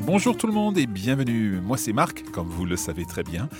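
A man hosting a podcast as a French teacher, explaining a course in a measured, explanatory way and slipping between English and French. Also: fairly low pitch, wildly swooping pitch, loud music behind the voice. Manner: measured, explanatory, with some restarts.

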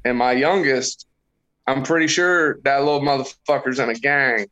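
A man's voice: mid-range voice, fairly even intonation, moderate pace, sharp tone, lo-fi sound. Profane joking man in his thirties. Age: 30s. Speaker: podcast guest. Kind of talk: casual podcast chat.

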